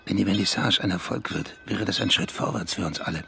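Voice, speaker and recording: An actor playing a calm, discreet senior police official in a radio crime drama, speaking in a controlled way. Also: male voice, low-pitched, quick pace, gravelly, exaggerated voice. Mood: composed. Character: composed, discreet senior police official managing a secret affair.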